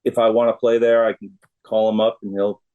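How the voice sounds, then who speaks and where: A man's voice: lowish voice, flat delivery, a little fast, warm tone, highs cut off. A middle-aged American man, a musician chatting in a laid-back, plain-spoken way as an interview guest. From United States. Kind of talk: casual interview conversation.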